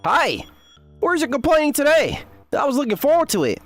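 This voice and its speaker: A man's voice: a little high, very animated, brisk, faint music behind. A man voicing a young boyfriend who bickers but is devoted, earnest and teasing, in a dramatized romantic comedy manga dub. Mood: playful. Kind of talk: dramatized romantic comedy dialogue and inner narration.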